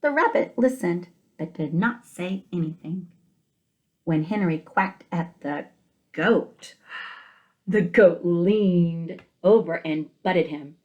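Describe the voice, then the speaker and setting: A woman teacher reading a picture book aloud to young children, expressively, with gentle questioning asides to the listeners. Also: lowish voice, slow, highs cut off.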